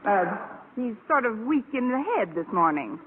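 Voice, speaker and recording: A woman playing a wry, quick-witted high school teacher with dry, sarcastic delivery in an old radio comedy. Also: very animated, natural voice. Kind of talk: 1940s-50s radio comedy acting and narration. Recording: noisy, tinny old radio broadcast.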